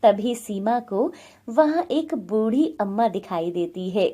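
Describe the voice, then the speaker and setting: A woman's voice: mid-range voice, expressive, takes it slowly, mellow. A woman narrating a scripted moral bedtime story as a storyteller.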